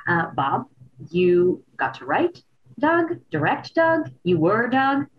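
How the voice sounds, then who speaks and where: A woman's voice: mid-range voice, sing-song, takes it slowly. Enthusiastic woman interviewer, slightly dull audio. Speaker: entertainment interviewer. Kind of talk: casual, chatty interview questions.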